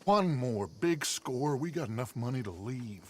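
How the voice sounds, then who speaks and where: An actor playing a charismatic, scheming American outlaw gang leader in a dramatic video game, speaking in grandiose, theatrical speeches. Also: male voice, mid-range voice, lively intonation, measured pace, slight background noise, lightly acted. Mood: urgent, persuasive.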